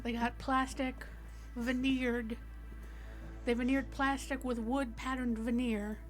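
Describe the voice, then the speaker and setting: A woman acts out a nervy colony worker in a tabletop horror game. The voice sits fairly high and talks in blunt, folksy, profane bursts. Light music plays behind it. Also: level tone, takes it slowly. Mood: rattled, blunt. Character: McWeir, jittery foul-mouthed colony worker fleeing an alien.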